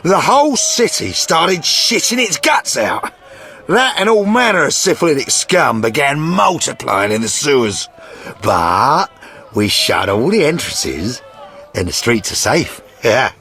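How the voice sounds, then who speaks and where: A man's voice: on the high side, sing-song, unhurried, sharp tone, soft background music, heavily acted. A man voicing a crude, boastful yet sentimental mercenary sergeant in a fantasy video game, with blustering theatrical delivery. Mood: gruff boasting.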